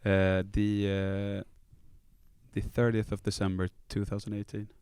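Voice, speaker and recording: A man's voice: lowish voice, little pitch movement, a little slow, darkish tone, smooth, no echo. A man from Sweden, a native Swedish speaker, chatting casually and jokily as a musician guest on a studio podcast. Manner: joking, laughs often.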